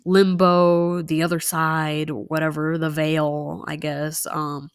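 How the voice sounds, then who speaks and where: A woman's voice: low-pitched, level tone, slow, smooth, no room sound, clean studio sound. A young woman chatting casually with many fillers and asides while telling a story time over a nail tutorial.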